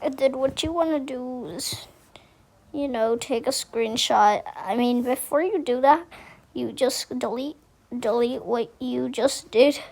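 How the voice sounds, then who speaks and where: A woman giving a casual how-to tutorial, speaking haltingly and repeating herself as she thinks aloud. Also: high voice, creaky, darkish tone. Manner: halting, repetitive, thinking aloud while demonstrating. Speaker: tutorial host.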